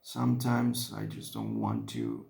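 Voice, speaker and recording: A man's voice: very deep voice, big pitch swings, a little slow, creaky, darkish tone. A man whose first language is Spanish talks with a Spanish accent, thinking aloud with many restarts while he paints miniatures at a desk. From Mexico. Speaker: hobby vlogger. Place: indoor hobby desk.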